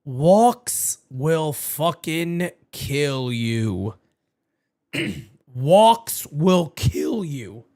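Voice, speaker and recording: A man hosting a live fan watch party, calling the game play-by-play and chatting with viewers. He is losing his voice, gets excitable, shouts on big plays and swears freely. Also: fairly high pitch, very slow.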